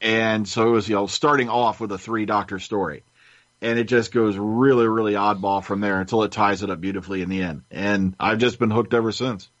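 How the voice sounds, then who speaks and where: Lowish male panelist, dry muffled sound. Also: steady tone, fairly quick, fairly bright tone, dry recording. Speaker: podcast panelist. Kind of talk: casual storytelling chat. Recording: dull, muffled lo-fi audio.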